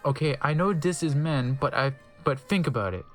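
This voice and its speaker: Narrator for comic dramatic reading: mid pitch, theatrical, deadpan asides, light music bed. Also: moderate pace.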